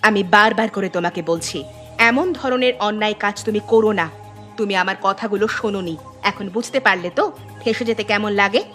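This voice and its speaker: A woman's voice: mid-range voice, fairly quick, a bit bright, faint music behind, lightly acted. A woman voicing an upright elderly village wife in a cartoon, nagging and reproachful. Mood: reproachful, worried. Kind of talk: cartoon voice acting.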